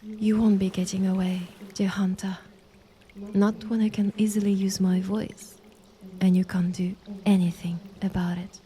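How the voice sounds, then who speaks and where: A woman playing a sweetly sinister siren in an audio drama, taunting softly and breathily. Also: mid-range voice, little pitch movement, measured pace, close to an everyday voice. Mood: sly, taunting.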